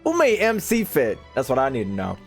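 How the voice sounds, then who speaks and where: A man's voice: medium pitch, huge pitch swings, a little fast, creaky. A young man doing casual live-stream commentary on a game, with playful, slangy exclamations. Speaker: gaming streamer reacting to a game. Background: game audio and music.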